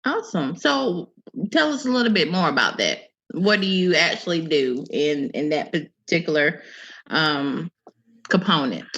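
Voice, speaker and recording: A woman's voice: low-pitched, animated, measured pace, fairly bright tone, muffled recording. A woman hosting a casual interview, upbeat and encouraging, with many fillers. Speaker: interview host. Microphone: video call.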